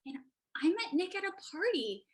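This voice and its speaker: A woman's voice: very high-pitched, sing-song, moderate pace. A woman playing an exasperated, stubborn adult Indian American daughter in an emotional, argumentative staged reading. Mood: defiant, pleading. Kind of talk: staged reading over video call.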